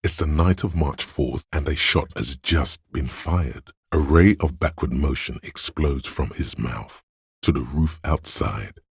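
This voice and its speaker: A man voicing a detective's analytical inner voice for a video game, in precise, measured, dryly observant narration with a gravelly, husky tone. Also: a bass voice, dry recording, studio quality, heavily acted. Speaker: game voice actor.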